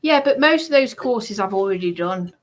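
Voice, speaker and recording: A woman's voice: mid-range voice, animated, moderate pace. A woman chatting casually on a live stream, talking in a defensive, insistent way.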